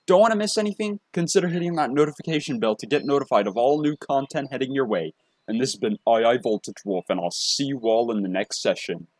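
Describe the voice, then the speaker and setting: A man presenting a casual software tutorial, talking in a halting way and often repeating his phrases. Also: medium pitch, expressive, dry recording. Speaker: tutorial presenter and hobby voice actor.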